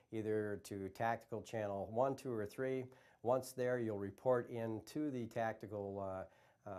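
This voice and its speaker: Low-voiced American man giving instructions. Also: darkish tone. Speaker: EMS trainer. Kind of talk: instructional presentation.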